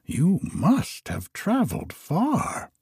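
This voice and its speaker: Man putting on a soft, high old woman. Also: wildly swooping pitch, slow pace, slightly rough, no echo, clean studio sound, exaggerated voice. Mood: gentle. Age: older. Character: frail captive old woman, wise and cautious.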